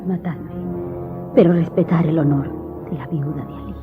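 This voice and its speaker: An actress playing a desperate, proud widowed mother in a radio drama, with a breathy voice. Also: deep voice, moderate pace, phone-quality sound, music in the background, close to an everyday voice. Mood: despairing.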